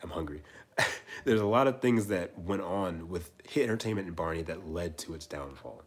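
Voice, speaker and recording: A man in his twenties hosting a casual livestream chat, rambling and repeating himself with soft trailing asides. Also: deep voice, moderate pace, husky. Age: 20s. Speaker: livestream host.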